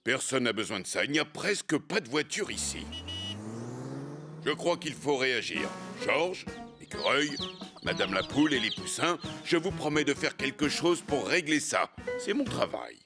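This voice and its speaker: An actor voicing an earnest, scolding but kind officer in a children's cartoon, with a lecturing, theatrical delivery. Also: male voice, mid-range voice, sing-song, moderate pace, music in the background, lightly acted. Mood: apologetic, resolute. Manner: lecturing, theatrical, swinging intonation.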